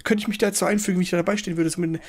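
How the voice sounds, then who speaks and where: A man's voice: high-pitched, steady tone, racing, gravelly. A man streaming live, making casual jokey remarks while he watches a showcase. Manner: chatty, jokey asides over the stream.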